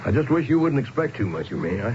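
Casting: male actor as bemused visitor in a radio mystery, lively pitch swings, muffled noisy broadcast. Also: fast, close to an everyday voice. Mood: curious, doubtful. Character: bemused male out-of-towner recounting a strange mystery. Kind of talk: radio drama acting with first-person narration.

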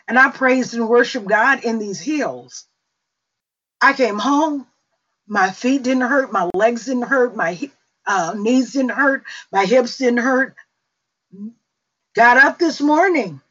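A middle-aged woman from Mississippi talks with a Southern drawl, pitched a little high and moving very slowly through her story. Her voice comes over a dull, lo-fi call line. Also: a bit bright. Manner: slow, unhurried storytelling with fillers. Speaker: prayer call host. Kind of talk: testimony on a prayer call.

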